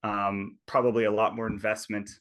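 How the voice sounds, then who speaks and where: A man's voice: fairly low pitch, level tone, normal speed. A man being interviewed as a tech executive, explaining at length over a video call and often checking in with "right?". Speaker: tech company executive guest. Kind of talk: conversational explaining.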